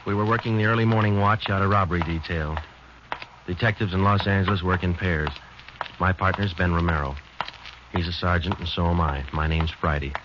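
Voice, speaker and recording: An actor playing a police sergeant who narrates a 1940s radio crime drama in a clipped, flat, matter-of-fact manner. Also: male voice, low voice, fairly quick, noisy background, close to an everyday voice. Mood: terse. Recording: dull lo-fi 1940s radio broadcast.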